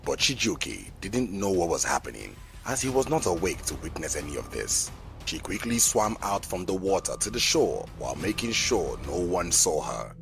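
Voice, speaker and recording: A man with a very low, gravelly voice tells a scripted tale as a dramatic narrator. His delivery is measured and rises and falls widely, with music clearly playing behind him. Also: very animated.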